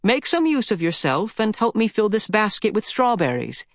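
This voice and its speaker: A man voicing a brisk, nosy little old countrywoman who orders a boy around. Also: sits high, very animated, dry recording, studio quality, pitched above the performer's own voice. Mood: bossy.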